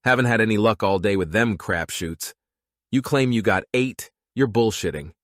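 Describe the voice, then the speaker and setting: A man's voice: fairly low pitch, moderate pace, a bit bright, dry recording, clean studio sound, lightly acted. A man playing a cursing, belligerent fighter pilot lieutenant in an audiobook, blunt, scornful and accusatory. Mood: disgruntled, accusing. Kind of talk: audiobook character acting.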